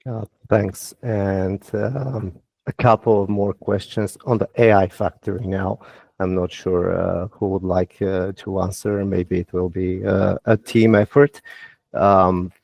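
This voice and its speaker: A man asking semi-formal questions on an investor earnings call in a hesitant, halting way. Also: low voice, fairly even intonation, vocal fry, a little mellow, dry recording.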